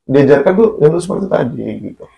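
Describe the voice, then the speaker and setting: A man's voice: a little high, expressive, quick pace, gravelly, dull audio. A man talking in a casual religious chat, blunt and preachy, laughing often. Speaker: content creator.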